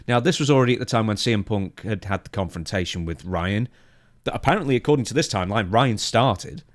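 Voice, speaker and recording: A man with a fairly low voice co-hosts a wrestling podcast. He reads through news notes and comments on them conversationally. The sound is dry, with hardly any room in it. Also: lively intonation, fast, no room sound. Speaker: podcast co-host. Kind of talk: reading out a wrestling news timeline and discussing it.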